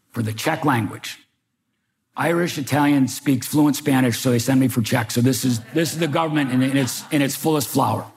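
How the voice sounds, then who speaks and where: An older man from Chicago, a former agent, telling his own story in wry, halting fashion at a public talk. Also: mid-range voice, a little mellow. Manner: wry storytelling with hesitant restarts. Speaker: panel speaker, former FBI agent. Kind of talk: public talk, personal recollection. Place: museum event room.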